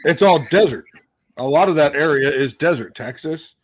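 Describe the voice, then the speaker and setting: A man co-hosting a casual podcast over a video call, earnestly laying out his theories as he thinks out loud. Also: fairly high pitch, normal speed. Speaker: podcast co-host.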